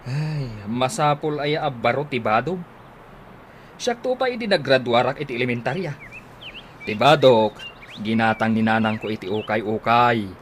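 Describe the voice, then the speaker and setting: A man's voice: medium pitch, normal speed, close to an everyday voice. A man playing an easygoing, teasing, thrifty husband with an Ilocano accent in a radio comedy drama. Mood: mild, puzzled. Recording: noisy radio drama recording, ambient sounds.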